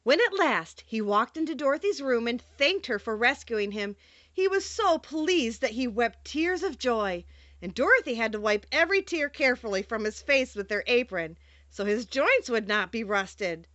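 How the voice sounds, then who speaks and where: A woman narrating a children's storybook in an expressive, theatrical read-aloud style. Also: a little high, normal speed, no room sound, dull audio. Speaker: audiobook narrator.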